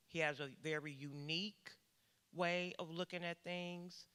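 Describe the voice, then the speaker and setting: A woman's voice: on the low side, steady tone, measured pace, pushed and bright, clear, resonant tone. A woman giving a heartfelt personal testimony in a church session, speaking in a reflective way. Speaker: congregation member giving testimony. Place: church hall. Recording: reverberant church hall.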